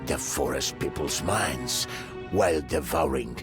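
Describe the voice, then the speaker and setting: Deep, slow, solemn house spirit. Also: male voice. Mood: grave, foreboding. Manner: slow, mysterious, dramatic storyteller delivery. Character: wise, solemn old male house spirit of the woods. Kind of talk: fairy-tale adventure game voice acting. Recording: music behind the voice.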